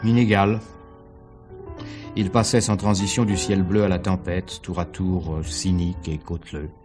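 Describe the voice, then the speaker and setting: Actor as a low-voiced, discreet theatre agent. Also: male voice, level tone, over music, natural voice. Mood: explanatory. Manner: guarded, measured, articulate. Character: discreet, tactful male theatre agent under questioning. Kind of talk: 1950s French radio mystery acting.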